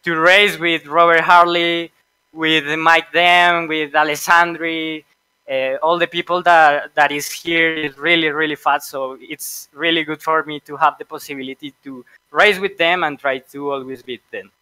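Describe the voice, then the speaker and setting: A Colombian man whose first language is Spanish, speaking English in a Colombian accent, hesitant and halting as an interviewed sim racer. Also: a little high, steady tone, bright, forceful tone, clean tone, no echo, low-quality recording. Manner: hesitant, halting with many fillers. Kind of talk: post-race interview.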